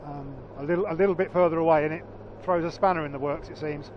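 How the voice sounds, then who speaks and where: A man with a naturally loud voice, chatting casually and haltingly on a vlog, repeating words as he explains. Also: on the high side, steady tone. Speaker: motorcycle vlogger. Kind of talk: casual chat. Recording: dull lo-fi sound with faint traffic.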